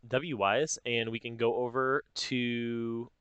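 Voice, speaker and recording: A man narrating a casual software tutorial step by step, informally thinking aloud as he goes. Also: mid-range voice, flat delivery, slow pace, clean tone, no echo, muffled recording. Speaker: tutorial host.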